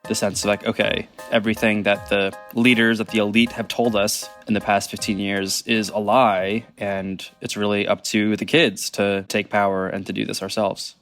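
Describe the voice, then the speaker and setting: A man speaking in a steady, matter-of-fact way in an interview. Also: on the low side, moderate pace, few pauses, soft background music. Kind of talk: casual interview.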